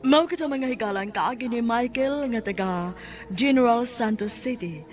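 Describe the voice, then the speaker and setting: A woman's voice: a little high, moderate pace. A woman with a Cebuano Filipino accent delivering scripted narration as a radio drama host. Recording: music bed under the voice.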